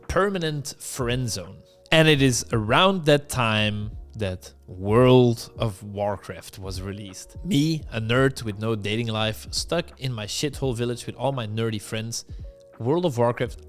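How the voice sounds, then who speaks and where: A young adult man from Belgium, a dating coach, tells a candid, blunt and self-deprecating personal story straight to camera. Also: mid-range voice.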